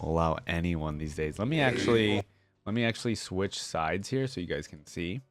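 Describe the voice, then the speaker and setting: Low-voiced man doing casual reaction commentary. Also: expressive, normal speed, music in the background. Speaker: reaction video host.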